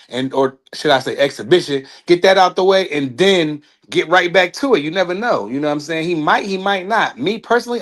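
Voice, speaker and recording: A man co-hosting a casual boxing talk show, speaking loosely and rambling. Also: fairly high pitch. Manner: loose, rambling, trails off with laughs. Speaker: co-host. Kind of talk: casual sports chat.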